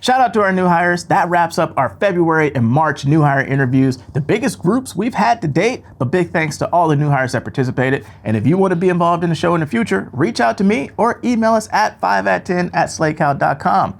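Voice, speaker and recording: A man's voice: sits high, lively intonation, fairly quick, creaky, few pauses. A man from Oakland, California, hosting a company show in a playful, upbeat way. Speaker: host.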